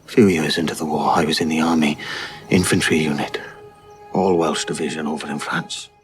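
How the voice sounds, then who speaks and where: A man's voice: a bass voice, fairly even intonation, fairly quick, music in the background. A man playing a reflective Great War soldier, recounting in a scripted audio drama intro. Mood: somber.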